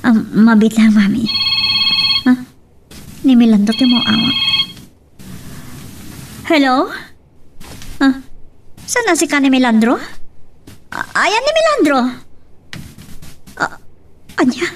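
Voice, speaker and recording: A woman playing a troubled, anxious and sorrowful adult daughter, with a breathy voice, in an Ilocano radio drama. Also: sits high, lively intonation, takes it slowly, long pauses, far from the mic, full character voice. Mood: anxious, alarmed.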